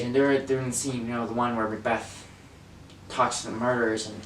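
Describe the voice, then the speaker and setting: A woman's voice: very deep voice, a bit bright. Woman playing a stammering, unraveling actor. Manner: halting, stammering, laughs nervously. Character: anxious male actor unraveling into hysteria. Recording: roomy, distant mic, faint noise.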